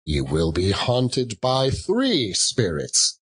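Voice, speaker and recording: A man voicing a mournful old male ghost in a storybook reading, wailing solemn, drawn-out warnings. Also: mid-range voice, huge pitch swings, breathy edge, full character voice. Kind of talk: dramatic audiobook reading.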